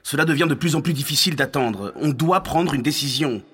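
An actor playing an insistent, scheming counsellor to a Roman ruler in a French audio drama, in a reverberant hall. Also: male voice, on the high side, brisk, fairly bright tone, slightly rough. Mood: urging, restrained. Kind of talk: French audio fiction acting.

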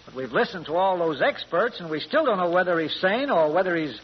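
Baffled, high-pitched comic jury foreman. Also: expressive, brisk, husky. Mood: baffled, apologetic. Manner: folksy, exasperated, deferential. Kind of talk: comic 1930s-40s radio drama acting. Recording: muffled, faintly noisy old radio recording.

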